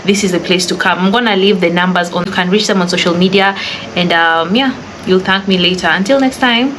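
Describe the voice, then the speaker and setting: A woman's voice: lowish voice, a little fast, fairly bright tone. A woman hosting a casual vlog interview. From Kenya. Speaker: host, interviewer. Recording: clearly noisy background ambience.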